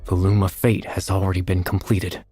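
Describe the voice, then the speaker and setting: A grave male story character from a video game, voiced by a man, speaking in a low, measured tone. Loud game music runs beneath him. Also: level tone, natural voice. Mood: solemn.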